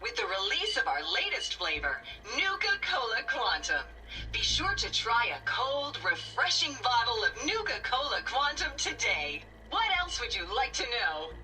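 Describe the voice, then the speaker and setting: A woman's voice: a little high, very animated, a little slow, bright, forceful tone, far from the mic, faint music behind, exaggerated voice. A woman voicing a bubbly female robot guide in a game, speaking through a tinny speaker. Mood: chipper. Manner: bubbly scripted sales cheer.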